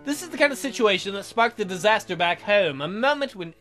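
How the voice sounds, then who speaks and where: A man's voice: sits high, animated, a little fast, a bit bright. Casual man reading over guitar music. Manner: informal, self-interrupting. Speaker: let's play host. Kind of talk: reading visual novel narration with casual commentary.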